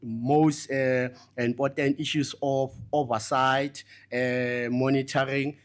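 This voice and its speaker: A man's voice: medium pitch, level tone. A man giving a spoken report at a conference, very hesitant, with many fillers and broken phrases. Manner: very halting, heavy hesitation, repeated verbal fillers. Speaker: summit delegate reporting back for a group.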